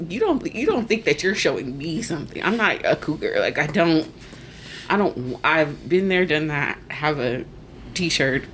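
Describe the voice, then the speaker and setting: A woman in her forties telling stories in a casual podcast chat, animated and laughing, acting out other people's voices. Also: low-pitched, slight background noise. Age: 40s. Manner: animated storyteller, acts out voices, laughs often. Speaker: podcast guest.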